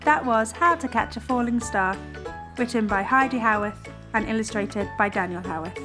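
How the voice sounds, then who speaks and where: A woman reading a children's storybook expressively and deliberately. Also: fairly high pitch, over music.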